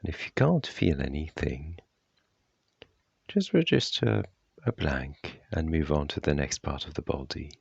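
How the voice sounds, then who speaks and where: Male meditation guide: extremely low, gravelly voice, measured delivery, long pauses between soft instructions. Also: animated, dry recording, dull audio. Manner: gentle, unhurried, measured phrasing.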